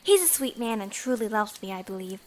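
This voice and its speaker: A woman's voice: mid-range voice, animated, a little fast, darkish tone, slight background noise, lightly acted. A young woman acting in an audio drama as an earnest, pleading bride-to-be who is secretly a bandit. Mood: earnest, sorrowful.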